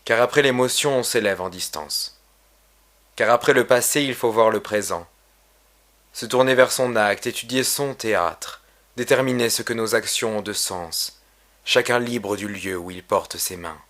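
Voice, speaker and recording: A man reading his own poem aloud in a solemn, measured, literary recitation. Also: fairly low pitch, a bit bright. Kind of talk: poetry recitation.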